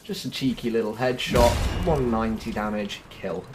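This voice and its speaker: A man's voice: lowish voice, moderate pace, noisy background. A man giving casual gameplay commentary as a video host, informal and a little stumbling in how he talks.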